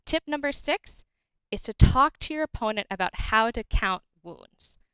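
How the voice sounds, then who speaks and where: Clear bright woman explaining tips. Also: fairly high pitch, moderate pace, no echo, low-quality recording. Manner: steady, orderly explanation with light laughs. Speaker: guest presenter.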